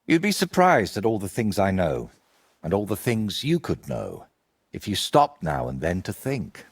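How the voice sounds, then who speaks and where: A man playing an evasive, eccentric wandering painter in a medieval video game, with a husky, rough-edged voice and a theatrical, riddling way of talking. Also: lowish voice, wildly swooping pitch, measured pace, exaggerated voice. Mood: teasing, guarded. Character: evasive eccentric male wandering painter, wry and world-weary.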